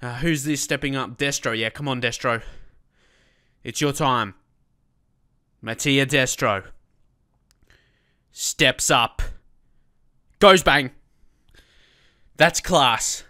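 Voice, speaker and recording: A man with a cold and a blocked nose, chatting casually as he commentates his own football management game, breaking into excited shouts at goals. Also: medium pitch, takes it slowly, long pauses. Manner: chatty, many fillers, bursts of excitement at goals. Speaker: let's play host.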